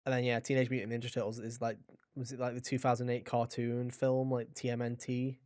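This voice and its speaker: A man's voice: mid-range voice, little pitch movement, a little fast, a little mellow, dry recording, clean studio sound. Blunt, rambling young man chatting about games. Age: young adult. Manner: opinionated, blunt, swears; rambling, anecdotal storytelling. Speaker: podcast host. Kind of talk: casual gaming podcast chat.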